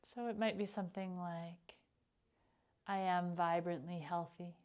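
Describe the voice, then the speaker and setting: A woman leading a guided meditation as a teacher, speaking in a calm, gentle, unhurried way with pauses. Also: lowish voice. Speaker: yoga nidra meditation teacher.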